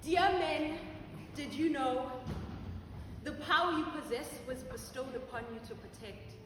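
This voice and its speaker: An actress gives an accusing, anguished woman poet a high voice and dramatic spoken-word delivery. The sound is distant and washed in heavy auditorium echo. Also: lively intonation, a little slow. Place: large auditorium stage. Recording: very echoey noisy auditorium, far microphone.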